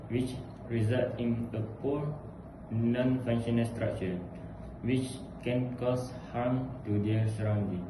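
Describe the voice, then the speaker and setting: A man giving measured, formal, rehearsed explanations as an interviewee. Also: mid-range voice. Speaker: interviewee explaining a building's design problems. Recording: echoey, noisy ambient recording.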